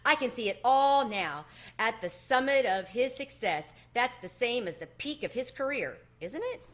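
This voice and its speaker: Woman voicing an excitable storybook mouse, pitched up. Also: normal speed. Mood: grandly excited. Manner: exclaiming, spluttering, theatrical. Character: excitable, dramatic, pushy male mouse.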